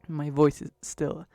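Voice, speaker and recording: A young man streaming from his bedroom at home, chatting casually and rambling a bit, his voice still hoarse from being sick. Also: on the high side, a little mellow, dry recording. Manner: rambling, chatty, laughs easily. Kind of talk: casual live-stream chat.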